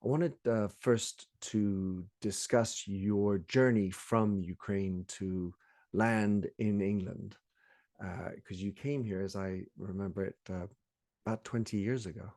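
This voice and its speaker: A man hosting a conversational interview, musing in measured, hesitant phrases. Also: fairly low pitch, fairly even intonation, darkish tone, no echo, clean studio sound. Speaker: podcast host, interviewer.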